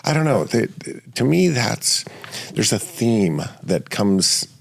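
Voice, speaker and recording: A man hosts a podcast interview at an unhurried pace, his low voice gravelly. He thinks aloud and stops to restart his sentences, and his pitch rises and falls a lot. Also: sing-song. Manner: halting, many restarts and fillers. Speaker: podcast host. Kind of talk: reflective interview conversation. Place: Seattle area studio.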